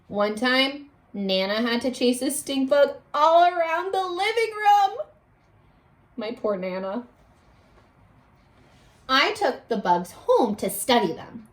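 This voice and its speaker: Woman, children's read-aloud, high-pitched, lively swooping intonation, teacherly, prompting young listeners. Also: slow, long pauses, poor audio. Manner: expressive, exclamatory, coaxing children to respond.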